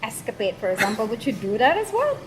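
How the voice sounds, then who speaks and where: Higher-pitched woman as interviewer, probing and attentive, faint background noise. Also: big pitch swings, quick pace. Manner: probing, with short backchannel murmurs. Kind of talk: conversational interview.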